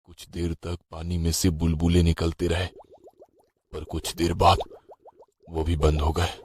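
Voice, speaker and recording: A man's voice: sits low, completely flat delivery, measured pace, a little mellow, husky. A man telling a horror story as a scripted, dramatic first-person narration.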